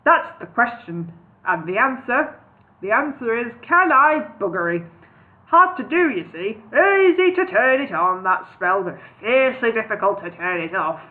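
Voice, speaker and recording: A booming, eccentric old wizard bellows his lines, loud and high for an old man, as a woman puts him on. The sound is thin and tinny, as if over a phone line. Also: very animated, moderate pace. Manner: booming, shouting.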